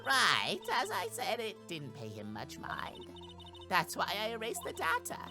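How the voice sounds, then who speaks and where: A man's voice: sits high, huge pitch swings, measured pace, music in the background, pitched above the performer's own voice, full character voice. A man voicing a cranky, elderly female security guard from a game, muttering dismissively about youngsters. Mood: cranky, defensive.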